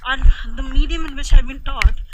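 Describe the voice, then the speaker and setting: A woman's voice: high voice, moderate pace. A young woman from India, chatting casually as an interviewee and filling her sentences with "like". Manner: chatty, lots of "like" fillers. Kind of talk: casual conversation. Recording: loud background noise.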